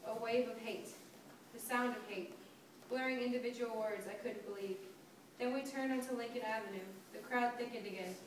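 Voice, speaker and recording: A shaken young local marcher tells of familiar streets turned hostile read by a young woman. The voice is a little high and distant, in heavy echo with clear background noise. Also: fairly even intonation, normal speed, natural voice. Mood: shaken, reflective. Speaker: student performer in a dramatic reading. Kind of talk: dramatic reading of poetic narrative. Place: large echoey indoor room, distant mic. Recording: distant, very echoey hall with noise.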